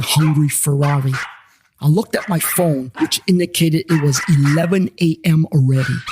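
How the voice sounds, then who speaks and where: A man's voice: on the high side, background noise. A man reading a scripted first-person story as its storyteller.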